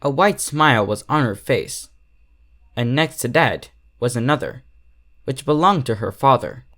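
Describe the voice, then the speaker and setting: Slow-paced male storyteller with lively intonation. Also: medium pitch. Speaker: fan fiction reader. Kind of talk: scripted story narration.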